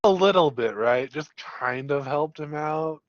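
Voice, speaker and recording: A man's voice: a little high, a little slow, no echo. A man acting as game master, casually explaining a tabletop story over dull, dry voice-chat audio.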